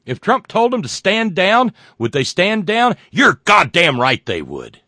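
A man hosting a show, reading viewer comments and delivering sarcastic political commentary that swings from loud rants to muttered asides, with frequent fillers and profanity. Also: high-pitched, huge pitch swings, unhurried, dry recording, clean studio sound. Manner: mocking, builds into loud rants then drops to muttering; profane, many fillers and restarts.

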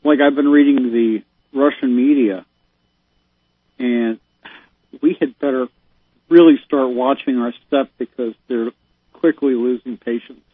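A man's voice: medium pitch, steady tone, a little slow, long pauses, breathy edge, dry recording. A man calling into a talk show, speaking in an exasperated, emphatic way. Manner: exasperated, emphatic, given to sighs. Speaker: caller. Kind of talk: casual phone chat. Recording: tinny phone line.

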